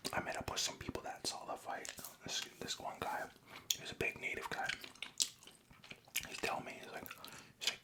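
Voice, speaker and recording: A young man from Canada telling a story in a soft, unvoiced ASMR whisper, casual and profane, close to the mic. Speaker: vlogger.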